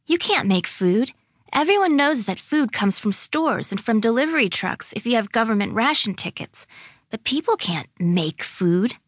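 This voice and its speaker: A woman's voice: a little high, big pitch swings, normal speed, no room sound, clean studio sound, pitched above the performer's own voice. A woman reading a storybook, voicing a skeptical young girl with a blunt, childlike manner. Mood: disbelieving. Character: skeptical young girl, outspoken best friend.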